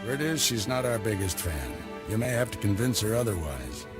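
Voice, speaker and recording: A calm Jedi master with a dry sense of humor, guiding his apprentice. His voice is fairly low and his delivery is steady and measured. Orchestral game music plays clearly underneath. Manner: calm, wry, mentoring. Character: wry, patient older Jedi master and mentor.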